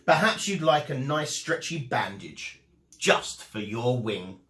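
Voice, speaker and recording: A kindly, plucky little girl princess in a storybook, as a man reads her, unhurried and varied in pitch. She is outspoken, and the room adds some echo. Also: sing-song, a bit bright. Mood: kind, soothing.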